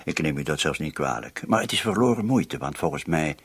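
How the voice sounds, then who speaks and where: An actor playing a shrewd, sceptical police commissioner with a husky voice in a radio drama. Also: male voice, rumbling low, animated, a little fast, heavily acted. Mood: probing, sceptical. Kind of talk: Dutch-language radio drama dialogue.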